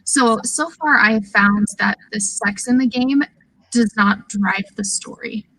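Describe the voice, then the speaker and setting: A woman chatting casually about video games on a podcast, informal and halting as she thinks aloud. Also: mid-range voice, sharp tone, dry recording, rough recording. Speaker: podcast panelist.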